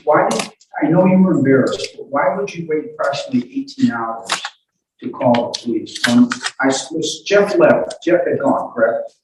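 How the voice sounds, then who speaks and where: A man working as a lawyer, putting questions to a witness in a halting, apologetic way. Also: medium pitch, background noise. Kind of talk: courtroom cross-examination. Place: indoor courtroom. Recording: echoey courtroom, distant mic.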